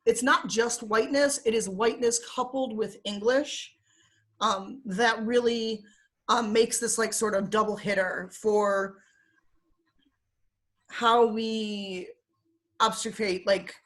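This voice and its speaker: A woman's voice: a little high, steady tone, slow. Chatty woman with a Michigan accent. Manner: chatty with frequent fillers, laughs often. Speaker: guest. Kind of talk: casual interview talk. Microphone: video call.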